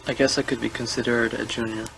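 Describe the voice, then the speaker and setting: A man in his twenties from Guyana, a suspect answering questions in a hesitant, halting way and stumbling over repeated words. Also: medium pitch, flat delivery, gravelly, poor audio. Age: 20s. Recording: interview room audio with music overlaid.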